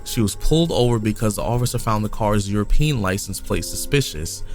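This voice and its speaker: A man's voice: fairly low pitch, expressive, a little fast, darkish tone, faint music behind. A man co-hosting a podcast, reading a crime story from notes in a casual way, sometimes stumbling and restarting. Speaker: podcast co-host. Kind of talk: reading a case aloud in casual chat.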